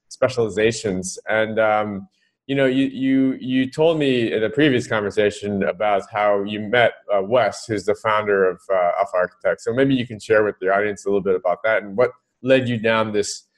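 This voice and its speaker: A man hosting a podcast interview, asking long rambling questions with fillers. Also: on the low side. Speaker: podcast host. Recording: faint music behind the voice.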